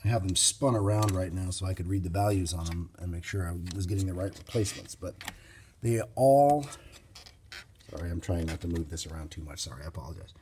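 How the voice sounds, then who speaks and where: A man giving an informal, unscripted hands-on demonstration as a hobbyist, explaining things in a plain-spoken, halting way. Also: low-pitched, warm tone, a little hiss or hum. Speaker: vintage radio hobbyist presenting a demonstration.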